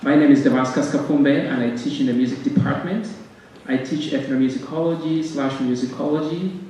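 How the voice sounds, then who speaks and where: A man with a Ugandan accent giving a halting welcome speech as director of a music ensemble, with frequent pauses and repeated words. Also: medium pitch, warm tone. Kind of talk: welcome speech to a concert audience. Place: concert stage in a hall. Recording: very echoey hall, distant mic, faint noise.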